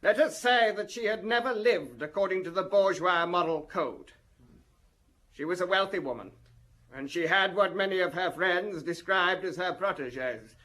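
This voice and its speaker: A showy defence lawyer in a radio play, his voice high and bright. He orates pompously, with florid phrasing and sly insinuation. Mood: insinuating. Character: flamboyant, insinuating male French defence lawyer addressing press. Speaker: actor in radio drama. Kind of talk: theatrical courtroom-style oratory in radio drama.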